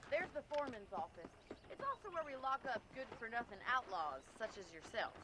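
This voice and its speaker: A woman's voice: fairly high pitch, very animated, fairly quick, background noise. An actress with an American accent playing a spirited, teasing young ranch woman of the Old West in a video game, forthright and sardonic. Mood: brisk, teasing. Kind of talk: video game character acting.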